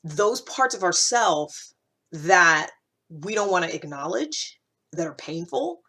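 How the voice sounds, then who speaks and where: Lower-pitched woman, casual and reflective. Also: very animated, slow pace, bright, forceful tone, breathy edge. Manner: informal, searching, emphatic, thinking aloud. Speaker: host of a personal-growth series. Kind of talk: casual, reflective spoken vlog to listeners.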